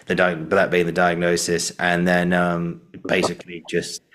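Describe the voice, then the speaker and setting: Male podcast host, low-pitched, conversational, halting and roundabout in phrasing. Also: completely flat delivery, a bit bright, a little hiss or hum. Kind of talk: casual interview conversation.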